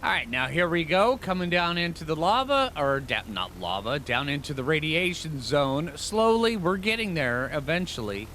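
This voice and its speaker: A man's voice: on the high side, sing-song, moderate pace, hardly any pauses, sharp tone, slight background noise. A man giving casual gameplay commentary, thinking aloud in informal, slangy phrases with rambling asides. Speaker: gaming channel host.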